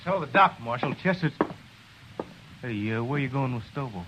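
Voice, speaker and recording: An actor playing a plain-spoken, loyal jail deputy in a 1950s radio western, folksy and forthright. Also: male voice, mid-range voice, animated, moderate pace, background noise, lightly acted. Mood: concerned. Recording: muffled old radio, background commotion.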